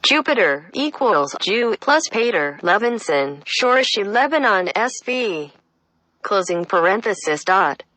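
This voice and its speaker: A woman reading a reference text aloud, deliberate and flat, spelling out citations. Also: mid-range voice, no room sound, low-quality recording. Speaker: reader.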